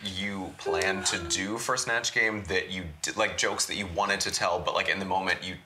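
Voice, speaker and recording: A man's voice: sits low, steady tone, normal speed, noisy background. A man acting as interviewer, putting casual questions to a group.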